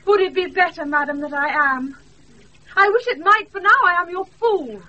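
A woman's voice: extremely high pitch, moderate pace, sharp tone. An actress playing an earnest young woman disguised as a youth, formal, in a classical Shakespearean radio style. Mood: troubled. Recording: muffled off-air radio recording.

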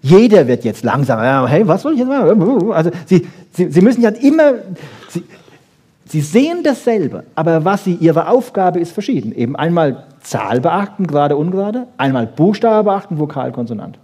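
Male lecturer, very lively intonation, fairly high pitch, colloquial, emphatic, explanatory. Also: moderate pace, breathy edge. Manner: emphatic, rhetorical questions and repeated check-ins. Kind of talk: live popular-science lecture, colloquial.

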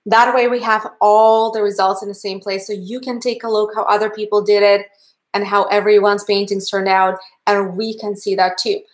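Russian-accented woman teaching a painting stream, chatty and upbeat, mid pitch, webcam in a home studio. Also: level tone, moderate pace. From Canada. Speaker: painting instructor. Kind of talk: livestream painting class wrap-up. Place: home art studio, indoors.

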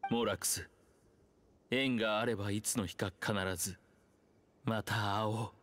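A solemn, ancient low dragon. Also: male voice, steady tone, a bit bright. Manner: solemn, archaic and measured. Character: ancient male earth dragon speaking through a man. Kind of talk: Japanese game dub.

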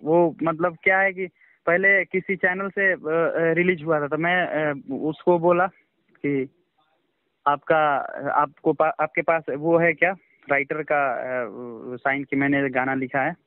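A man giving an informal phone interview as a songwriter, speaking in a halting, repetitive, defensive way. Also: on the high side, fairly even intonation, no room sound. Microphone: phone call. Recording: tinny, dry phone-call line.

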